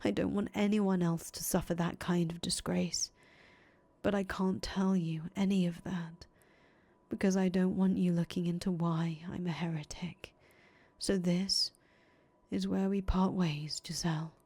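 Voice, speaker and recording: A woman voicing a soft-spoken young man condemned as a heretic, talking quietly in breathy whispers. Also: on the low side, a little slow, no room sound. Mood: whispered farewell. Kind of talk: audio drama acting.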